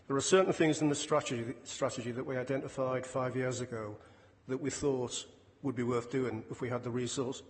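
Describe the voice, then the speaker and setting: Flat-toned man briefing in an echoey hall. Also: mid-range voice. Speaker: council flood management officer presenting a report. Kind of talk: formal committee briefing. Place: large indoor council meeting room. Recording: echoey hall, distant, clear background noise.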